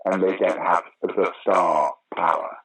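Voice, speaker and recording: A man's voice: sits low, level tone, measured pace, fairly bright tone, slight background noise, rough recording. An older man, answering interview questions conversationally in a wry, opinionated, emphatic way. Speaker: interview guest, actor, writer and director of pantomime.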